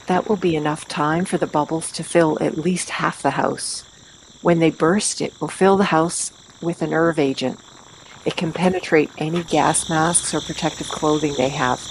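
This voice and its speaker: Confident female raid leader, acted by a woman: deep for a woman, matter-of-fact, faint noise behind. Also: fairly even intonation, normal speed, poor audio. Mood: confident scheming. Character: confident, calculating female vigilante leading a stealth raid. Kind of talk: amateur audio play acting.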